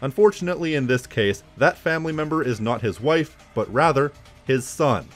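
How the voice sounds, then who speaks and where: A man's voice: medium pitch, normal speed, faint music behind. A man hosting a video essay on games, giving casual scripted commentary in a wry, conversational manner.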